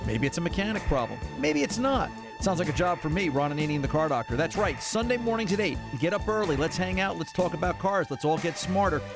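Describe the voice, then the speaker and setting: Guy doing a punchy radio promo, slightly high voice, music bed under him. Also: animated, fast, hardly any pauses.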